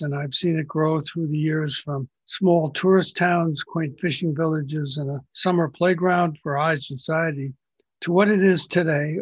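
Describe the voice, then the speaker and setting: An older man with a fairly high, husky voice hosts an interview podcast from his home. His speech is gentle and unhurried, with small hesitations, and the recording is close and dry. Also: no echo. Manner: gentle, unhurried questioning with fillers. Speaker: podcast host.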